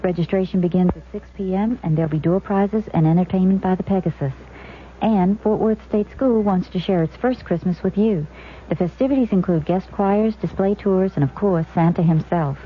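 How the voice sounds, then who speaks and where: An American woman reads scripted community notices as a local radio announcer. Her voice is fairly low for a woman. The recording is thin and tinny, with a faint layer of noise. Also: normal speed.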